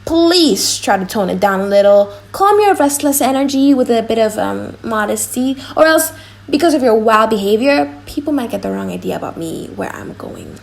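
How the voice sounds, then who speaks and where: A woman playing a courteous young gentleman who gives measured, polite orders in an audiobook drama. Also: fairly high pitch, expressive, creaky. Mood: cautioning, friendly.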